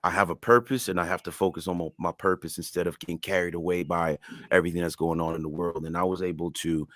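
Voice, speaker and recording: A man with a deep, low voice talks in English, though French is his first language. He speaks in an earnest, emphatic way, like an artist opening up in an interview. The sound is dry and close, with little room to it. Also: steady tone, fast talker, fairly bright tone, dry recording. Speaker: music artist guest. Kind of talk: casual interview conversation.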